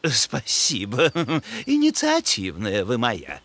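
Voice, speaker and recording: An actor voicing a pedantic, courteous moose scientist who lectures excitedly in an educational cartoon, with hesitant little openings. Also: male voice, mid-range voice, slow, dry recording, exaggerated voice. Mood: flustered, pleased. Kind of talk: animated educational cartoon dialogue. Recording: music behind the voice.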